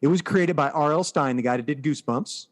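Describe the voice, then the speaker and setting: A man's voice: a little high, brisk. An American man co-hosting a podcast, chatting casually and with enthusiasm about pop culture. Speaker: podcast co-host.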